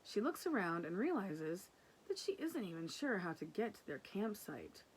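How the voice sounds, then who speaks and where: A woman reading a children's picture book aloud in an expressive way, with casual asides. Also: mid-range voice, slight background noise, poor audio. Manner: animated, unhurried narration. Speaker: storybook reader.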